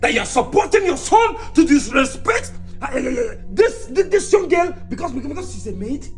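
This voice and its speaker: Exasperated high-voiced Nigerian husband. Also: male voice, very animated, moderate pace, soft background music, heavily acted. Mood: outraged. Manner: stammering repetitions, insistent questioning. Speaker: actor in a family drama. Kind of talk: heated screen drama.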